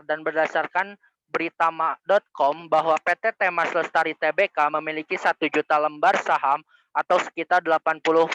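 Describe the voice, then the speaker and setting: A man's voice: on the high side, pushed and bright, a little hiss or hum, rough recording. A young man reading out his part of a student group presentation. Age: young adult.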